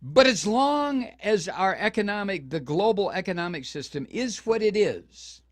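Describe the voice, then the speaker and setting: Impassioned older man with a lively pitch. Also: normal speed, no room sound. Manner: impassioned, indignant, with frequent restarts. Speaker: radio talk show host. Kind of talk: political commentary.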